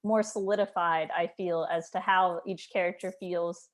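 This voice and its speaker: A woman's voice: fairly low pitch, fairly even intonation, normal speed. A woman hosting a casual interview in a conversational style.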